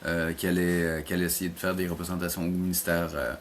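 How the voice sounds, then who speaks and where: A man's voice: low-pitched, flat delivery, fast, a little hiss or hum. A middle-aged man with a Quebec French accent, answering interview questions conversationally as a teacher, often hesitating and repeating words as he explains. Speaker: college animation teacher and programme coordinator, interview guest.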